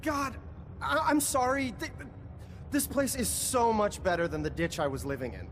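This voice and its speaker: An actor playing a naive, eager-to-please wasteland settler in a video game, stammering and earnest. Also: male voice, very high voice, moderate pace, a bit bright, a little hiss or hum. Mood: flustered, earnest. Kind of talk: video game character dialogue.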